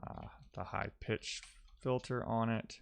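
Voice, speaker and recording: Low-voiced man thinking out loud. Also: steady tone, takes it slowly, vocal fry, clear, resonant tone. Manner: musing, thinks out loud in loose fragments. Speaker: live-coding streamer. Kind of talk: casual thinking-aloud coding stream chat.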